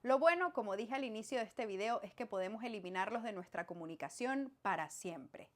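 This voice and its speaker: A woman from Venezuela with a Venezuelan accent, explaining language points in a clear, didactic scripted lesson that speaks straight to the viewer. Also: mid-range voice, lively intonation, brisk. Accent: Venezuelan Spanish. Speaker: language teacher.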